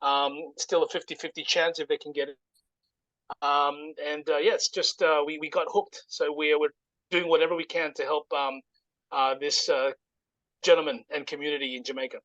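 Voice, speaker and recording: A man giving an informal, halting explanation as a guest presenter in casual conversation. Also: fairly high pitch, flat delivery, fairly bright tone, dry recording, highs cut off. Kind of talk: informal project pitch, casual chat.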